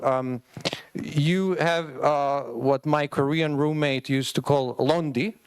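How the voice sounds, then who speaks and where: A man's voice: mid-range voice, clean tone, dry recording. A young man moderating a panel discussion, asking questions with frequent hesitations and fillers.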